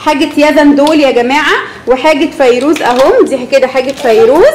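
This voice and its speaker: Egyptian woman in her 30s, quick and high-voiced, playful vlog host in an echoey home. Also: a little mellow. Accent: Egyptian Arabic. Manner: chatty, playful, addresses viewers directly. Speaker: family channel host. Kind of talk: casual toy unboxing vlog. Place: living room at home, indoors. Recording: echoey tiled room, faint room noise.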